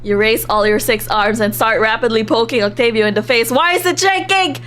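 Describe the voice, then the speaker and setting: A woman livestreaming a visual novel, reading story text aloud and commenting on it in an animated, reactive way. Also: on the high side, brisk, a little hiss or hum. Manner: animated, reactive, giggly, drops into hushed narration. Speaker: streamer playing a visual novel live.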